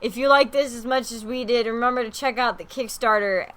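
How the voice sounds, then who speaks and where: A woman's voice: a little high, brisk, fairly bright tone. A woman co-hosting a let's play in casual, playful chat, with a dramatic, exclamatory way of talking. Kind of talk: casual chat, playful reading of character lines. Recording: faint background noise.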